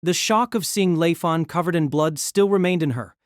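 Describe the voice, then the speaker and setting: A woman's voice: lowish voice, normal speed, no room sound, clean studio sound. A woman narrating a scripted novel as a dramatic storyteller.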